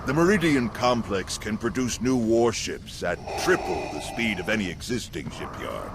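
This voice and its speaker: A man's voice: fairly low pitch, lively intonation, normal speed, noisy background. A man voice-acting a grave, resolute military general giving a briefing in a video game. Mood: earnest.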